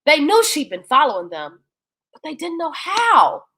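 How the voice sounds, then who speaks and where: A woman reading a children's bedtime story in an expressive, dramatic, folksy way. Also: high-pitched, measured pace.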